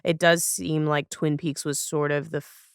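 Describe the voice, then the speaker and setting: A podcast host with a smooth, clear voice chats casually with a guest. The phrasing rambles agreeably, and the recording is very dry and free of room sound. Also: medium pitch, flat delivery, moderate pace, no room sound. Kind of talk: casual conversational interviewing.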